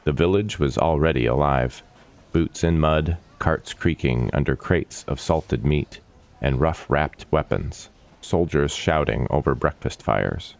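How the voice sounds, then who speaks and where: A man narrating a scripted dark fantasy story in a measured, solemn and dramatic manner. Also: extremely low pitch, vocal fry. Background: background music.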